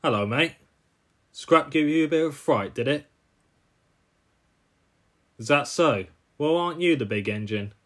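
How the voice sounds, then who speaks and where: A man's voice: on the high side, lively intonation, measured pace, long pauses, a bit bright. Man voicing a chummy cartoon scrapyard crane. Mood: jovial. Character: friendly, matey male scrapyard crane.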